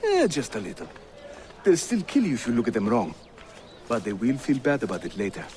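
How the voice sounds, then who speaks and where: An actor playing a jovial, witty Turkish assassin leader who guides newcomers, with a Turkish accent and a teasing, theatrical delivery in a video game. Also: male voice, medium pitch, wildly swooping pitch, a little fast, slight background noise. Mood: wry, reassuring. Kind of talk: video game character acting. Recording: loud music behind the voice.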